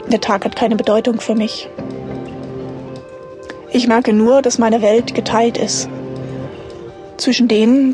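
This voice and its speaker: A woman in her thirties with a fairly high voice narrates her own story. She speaks in short, deliberate phrases and keeps it matter-of-fact. Music plays clearly behind her. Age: 30s. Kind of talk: scripted autobiographical audiobook narration.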